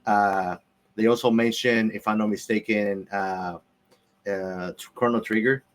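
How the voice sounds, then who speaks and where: Lowish voiced guy co-hosting a podcast, casual, into it, repeats stuff to make his point. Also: fairly even intonation, measured pace. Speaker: podcast co-host. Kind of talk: casual chat, enthusiastic commentary.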